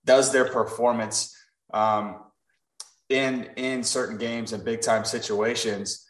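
A man's voice: mid-range voice, fairly even intonation, slow, fairly bright tone, recorded from a distance. A man co-hosting a sports podcast, chatting casually, often restarting words and joking. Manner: hesitant, repeats words, jokey. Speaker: podcast co-host. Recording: roomy echo.

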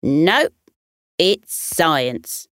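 A woman's voice: deep voice, big pitch swings, very slow, a bit bright, dry recording, studio quality, full character voice. A woman playing a stubborn, bouncy male wallaby in a children's bedtime story, earnest and confidently proclaiming.

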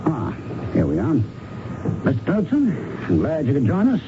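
An actor playing a wry, weary police investigator in American radio drama style. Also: male voice, on the high side, huge pitch swings, moderate pace. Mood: wry, commanding. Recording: muffled, noisy old radio broadcast.

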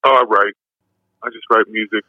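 A man with a low voice, giving easygoing, rambling interview answers full of fillers. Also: fairly even intonation, normal speed, no echo. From New York. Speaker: musician guest. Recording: dull lo-fi dry sound.

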